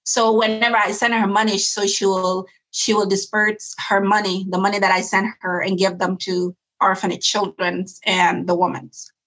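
A woman's voice: mid-range voice, level tone, normal speed, hardly any pauses, fairly bright tone, rough recording. A woman from Sudan, a nonprofit founder speaking earnestly and reflectively in an interview, often restarting her sentences. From South Sudan. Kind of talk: interview conversation.

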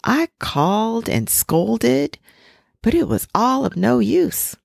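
A woman's voice: big pitch swings, slow, vocal fry, no room sound, studio quality, full character voice. A woman voicing a bossy old duck. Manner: shrill, emphatic scolding. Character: elderly female duck visitor, bossy and knowing. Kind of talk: storybook reading.

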